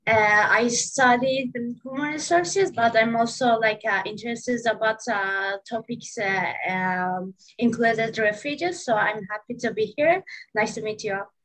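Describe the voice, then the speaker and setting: A woman's voice: on the high side, measured pace, fairly bright tone, low-quality recording. A woman from Turkey introducing herself on a video call, friendly and a little hesitant. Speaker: participant.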